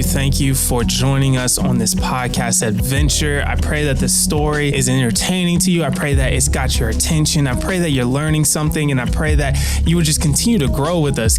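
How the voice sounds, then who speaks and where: A man with an American accent, an author and producer, gives a heartfelt, earnest address to podcast listeners, often repeating his phrases. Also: medium pitch.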